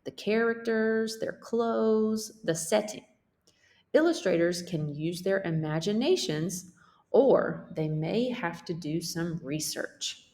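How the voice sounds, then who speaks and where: A woman's voice: mid-range voice, takes it slowly, a little mellow. A woman teacher reading a picture book aloud as a lesson for children, explaining things simply and checking in with questions.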